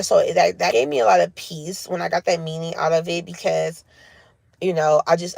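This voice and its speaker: Woman just rambling to her camera, raspy low cigar voice, lots of like and um. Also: a little mellow, no room sound. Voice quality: voice lowered from smoking cigars. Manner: loose, spiritual, full of fillers. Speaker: vlogger. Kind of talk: rambling casual monologue.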